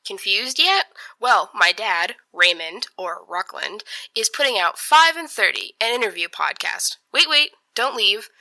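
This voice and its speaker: A woman's voice: mid-range voice, expressive, unhurried, few pauses, sharp tone, low-quality recording. A young woman giving a chatty, scripted promotional read for a podcast.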